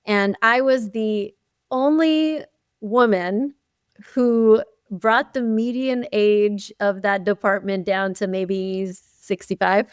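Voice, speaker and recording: Smooth-voiced woman, Arabic native speaker. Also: mid-range voice, slow pace, dry recording, studio quality. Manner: animated storytelling with frequent "you know" and laughs. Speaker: guest, chief dental officer. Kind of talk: conversational interview.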